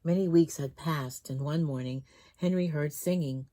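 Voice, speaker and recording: A woman storytime host reading a children's book aloud in an expressive, dramatic way that leans on key words. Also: low voice, normal speed, a little hiss or hum.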